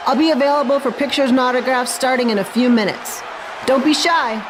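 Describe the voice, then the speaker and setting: A woman's voice: high-pitched, brisk, noisy background, lightly acted. A woman playing a friendly, gracious veteran wrestling star in a video game cutscene.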